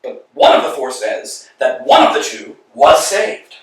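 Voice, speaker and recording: A man's voice: mid-range voice, big pitch swings, unhurried. A man playing a fussy, anxious tramp. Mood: insistent. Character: anxious male tramp Vladimir, fussy and insistent. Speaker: actor. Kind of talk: staged play reading. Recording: echoey room from far off.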